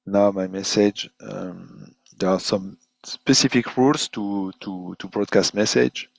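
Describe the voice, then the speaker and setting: Slow, low-voiced man demonstrating software. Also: steady tone, creaky, no echo. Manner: halting, hesitant phrasing, repeating words. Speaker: product presenter giving a software demo. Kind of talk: webinar demonstration, unscripted walkthrough.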